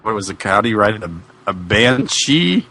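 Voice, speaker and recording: A man's voice: lowish voice, big pitch swings, a little slow, bright, forceful tone. A man in casual comic chat as a podcast co-host, puzzling aloud and often repeating himself.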